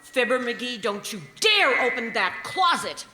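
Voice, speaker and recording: A woman's voice: on the high side, sing-song, measured pace, pushed and bright, heavily acted. A woman playing a scolding, long-suffering wife in old-time radio comedy style. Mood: alarmed, warning. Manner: scolding, emphatic. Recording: echoey hall, noisy with clicks.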